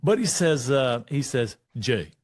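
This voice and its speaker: A man's voice: medium pitch, no room sound, studio quality. A man, telling a motivational story as a speaker and coach over an online call. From Texas. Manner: lively storyteller, animated, pausing to check notes.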